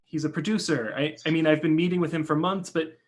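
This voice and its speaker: Man acting an uptight, higher-voiced cautious brother. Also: fairly even intonation, fast, natural voice. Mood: exasperated. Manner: hesitant, guarded. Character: uptight, cautious screenwriter brother, male, polite and exasperated. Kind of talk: play reading, stage drama dialogue.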